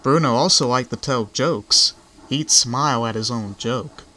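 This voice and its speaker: Unhurried male storybook narrator. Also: mid-range voice, bright, forceful tone.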